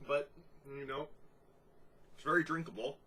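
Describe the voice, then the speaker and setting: A congested man giving a casual, descriptive beer tasting review, unhurried and full of "you know" fillers. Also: fairly high pitch, pushed and bright.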